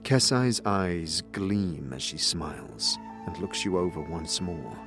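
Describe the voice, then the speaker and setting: A man's voice: deep voice, lively intonation, takes it slowly, music in the background. A man narrating a scripted fantasy story in the second person, describing a scene as narrator. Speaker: narrator and game character reader.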